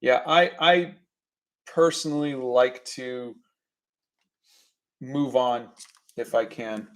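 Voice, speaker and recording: A middle-aged man hosting an art livestream, chatting in a relaxed, halting way with fillers while he paints. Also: medium pitch. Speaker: artist and livestream host. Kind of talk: casual chat while painting.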